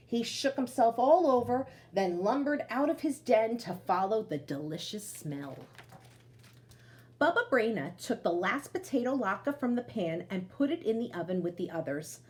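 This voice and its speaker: A woman storyteller reading aloud to children, expressive, with chatty asides that speak to them directly. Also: a little high.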